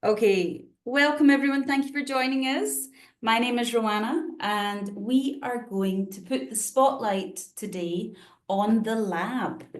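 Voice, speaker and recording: A Scottish woman from Scotland hosting an online session over a video call, chatty and friendly, with frequent fillers. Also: fairly high pitch. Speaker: webinar host.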